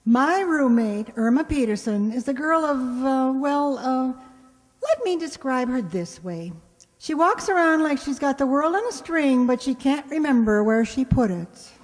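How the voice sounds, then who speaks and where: A woman's voice: sits high, expressive, a little slow. A woman playing a sensible, patient roommate in a radio comedy, with a dry, wry, explaining manner. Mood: wry narrating. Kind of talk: radio sitcom acting. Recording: echoey hall, long reverb.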